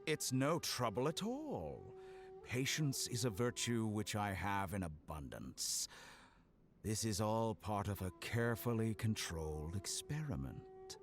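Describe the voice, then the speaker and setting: Game villain: a low, unhurried, mockingly courteous mad scientist, sweeping intonation, music behind. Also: male voice, sing-song. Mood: smug, gloating. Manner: slow, deliberate, mockingly courteous. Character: suave, sadistic villain scientist, calm and mockingly polite.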